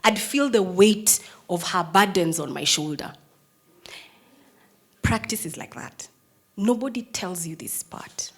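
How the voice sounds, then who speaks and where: A Kenyan woman in her thirties speaks to an audience about her work as a prosecutor, in the tone of personal storytelling. Her voice is strong and firm, in an ordinary middle range for a woman. She drops to hushed, almost whispered lines and then rises loud for emphasis. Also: medium pitch, lively intonation, takes it slowly, long pauses, slightly rough. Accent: Kenyan English. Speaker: prosecutor, speaker giving a talk.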